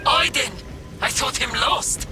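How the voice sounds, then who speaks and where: A man playing a gruff army major in a video game, forceful and curt. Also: very high-pitched, slow. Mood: brisk. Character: gruff army major barking over comms. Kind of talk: dramatic video game acting. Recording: music behind the dialogue.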